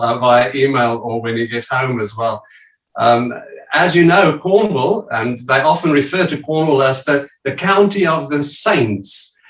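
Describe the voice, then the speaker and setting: A man's voice: medium pitch, fairly bright tone, echoey room, distant mic, rough recording. A South African man presenting to a church group over a video call, speaking hesitantly with many fillers. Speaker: visiting church leader presenting. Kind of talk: casual talk on a video call.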